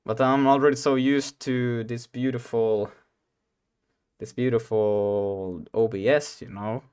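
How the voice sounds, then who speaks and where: Young guy rambling to his stream chat, laughs a lot, mid-pitched voice. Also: fairly even intonation, takes it slowly, a little mellow, smooth. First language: Swedish. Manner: giggly, rambling, talks to chat. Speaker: game dev streamer. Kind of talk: casual live-stream chat.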